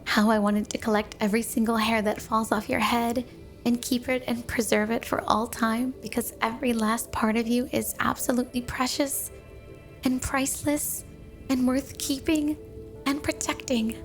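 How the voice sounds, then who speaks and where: A woman's voice: fairly high pitch, level tone, moderate pace, soft background music, lightly acted. A woman playing an obsessively devoted snow woman wife in a flirty ASMR roleplay, gushing and cooing with a sweet but possessive manner. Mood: playful, gushing. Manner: gushing, cooing, doting, dreamy.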